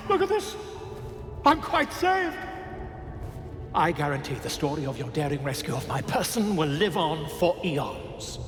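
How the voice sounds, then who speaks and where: A man's voice: a little high, big pitch swings, unhurried, fairly bright tone. A man playing an effusive, grandiloquent adventurer in a video game, theatrical and florid. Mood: effusive, delighted. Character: flamboyant, grandiloquent male bard-like adventurer, effusive. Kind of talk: video game character acting. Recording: echoey reverberant hall, music behind.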